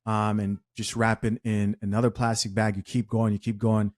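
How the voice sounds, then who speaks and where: A man's voice: fairly low pitch, level tone, normal speed, a little mellow. A man from Zambia hosting a reaction video, with mild, easygoing commentary on what he watches. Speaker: reaction host.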